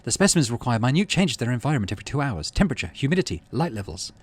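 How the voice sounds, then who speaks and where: A man's voice: mid-range voice, sing-song, rapid-fire, husky. A man voicing an earnest, stoic teenage girl scientist in a visual novel, with precise, formal speech. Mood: tense, resigned. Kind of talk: reading visual novel dialogue aloud.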